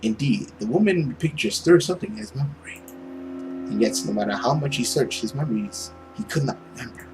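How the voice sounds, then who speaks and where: A man hosting a gaming video, moving between dramatic story reading and casual commentary in an expressive, theatrical way. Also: mid-range voice, brisk, noisy background.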